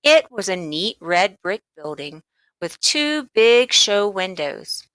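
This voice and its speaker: A woman narrating a children's book aloud, expressively and with deliberate emphasis on key words. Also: medium pitch, big pitch swings, fairly bright tone, dry recording, low-quality recording. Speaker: storybook reader.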